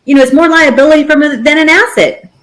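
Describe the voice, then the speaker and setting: A woman's voice: high voice, fast talker. A woman in her thirties co-hosting a podcast, talking in a rambling, casual way with many restarts. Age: 30s. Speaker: podcast co-host. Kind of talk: casual chat.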